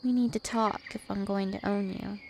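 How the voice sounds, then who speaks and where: Casting: woman as an immortal vampire princess for ASMR roleplay, teasing and possessive, creaky voice over noticeable insect-like night ambience. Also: medium pitch, steady tone, slight background noise, close to an everyday voice. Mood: calm, probing. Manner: slow, deliberate, teasing and possessive.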